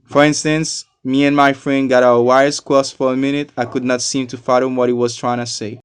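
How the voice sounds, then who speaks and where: A man from Haiti, a non-native English speaker, giving a scripted English lesson with deliberate, explanatory enunciation. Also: mid-range voice, flat delivery, smooth. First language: not a native English speaker. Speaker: English teacher. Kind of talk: scripted educational narration.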